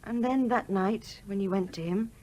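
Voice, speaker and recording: An actress playing an outspoken, affectionate young daughter in a radio play. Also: female voice, mid-range voice, moderate pace, a little mellow, slight background noise, close to an everyday voice, low-quality recording. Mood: confiding, fond.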